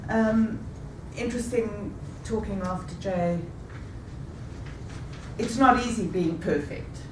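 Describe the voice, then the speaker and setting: A woman in her fifties telling a rehearsed personal story with a measured, deliberate delivery. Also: mid-range voice, noisy background. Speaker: speaker. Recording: echoey room, taken from a distance.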